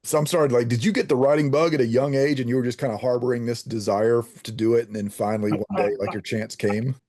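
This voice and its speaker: A man hosting an interview in a casual, halting way, repeating words as he thinks. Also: medium pitch, steady tone, warm tone, dry recording. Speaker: interview host. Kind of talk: casual chat.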